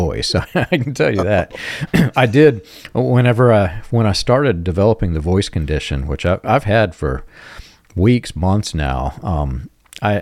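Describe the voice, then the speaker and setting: A man with a deep voice hosting a casual conversation, relaxed, often clearing his throat and chuckling. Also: no echo.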